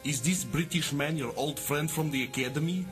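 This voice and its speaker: An actor playing a sensible, long-suffering detective's sidekick with a dry manner in a 1950s-style radio drama. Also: male voice, a little high, normal speed, over music, close to an everyday voice. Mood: exasperated.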